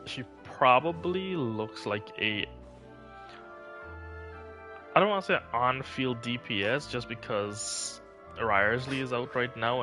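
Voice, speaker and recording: A man's voice: medium pitch, bright, forceful tone. A man chatting casually on a live game stream, rambling and thinking aloud as he goes.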